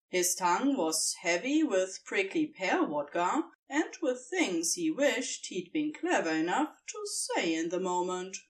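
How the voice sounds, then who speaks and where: A woman narrating fan fiction as an expressive scripted audiobook reading. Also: medium pitch, distant mic.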